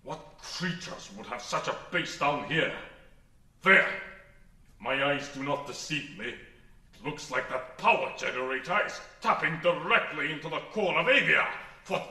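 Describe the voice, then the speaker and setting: A man's voice: a little high, expressive, a little slow, sharp tone, reverberant, recorded from a distance, exaggerated voice. An actor playing a formal, proud winged falcon-man warrior in grand, stilted pulp sci-fi radio serial style. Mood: awed.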